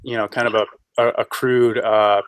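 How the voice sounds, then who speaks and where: A man speaking haltingly and repeating phrases as a panelist in a casual political discussion on an online call. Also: on the low side, flat delivery, clean tone, dry recording. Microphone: online group voice call.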